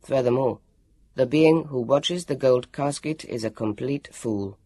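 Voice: mid-range voice, measured pace, warm tone, dry recording, clean studio sound, close to an everyday voice. The narrator voicing a formal, solemn grown man who speaks stiffly and precisely.

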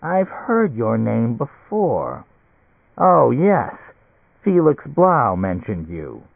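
A man voicing an aloof, highly evolved businessman in an audiobook story, speaking in a measured, probing way. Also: huge pitch swings, vocal fry, husky, no room sound, full character voice. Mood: cool, probing. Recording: tinny band-limited radio-like sound.

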